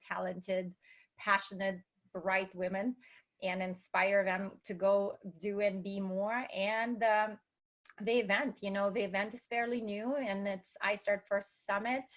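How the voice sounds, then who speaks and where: A woman from Russia speaks with a clear Russian accent as a guest on an interview. Her voice sits at a middle pitch, and she talks in an upbeat, motivational way, like a speaker trying to inspire her listeners. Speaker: guest, entrepreneur. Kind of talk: interview conversation.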